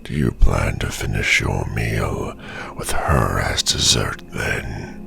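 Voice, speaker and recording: A man playing a gruff, defiant werewolf clan veteran in a fantasy audio roleplay, with a growling voice and formal, deliberate speech. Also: in a whisper, heavily acted. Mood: stern, accusing. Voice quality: very deep, gravelly, growling.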